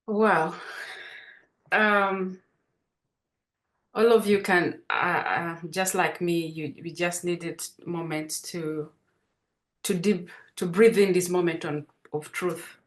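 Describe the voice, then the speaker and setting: Ugandan Dutch-accented woman, low voice. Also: steady tone, slow pace, long pauses. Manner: hesitant, many fillers and restarts. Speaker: webinar moderator. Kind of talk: hosting an online panel.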